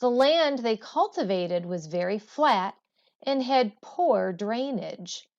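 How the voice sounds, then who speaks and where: A woman teacher reading a textbook aloud in a deliberate, phrase-by-phrase way, as educational narration. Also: on the high side, animated, a little mellow. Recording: dull, lo-fi sound.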